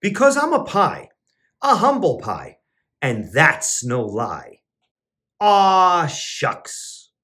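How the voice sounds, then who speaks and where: A man reads a children's picture book aloud, his voice climbing and dropping in big swoops. He takes his time over each line, with a gentle, sing-song storytelling delivery. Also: very slow. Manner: expressive storybook narration with sing-song pitch swings. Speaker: teacher and children's entertainer.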